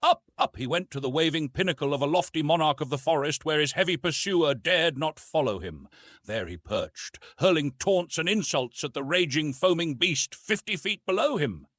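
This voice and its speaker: A man narrating an adventure novel as an audiobook, in a dramatic and expressive storytelling manner. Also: a little high, normal speed, no room sound, studio quality.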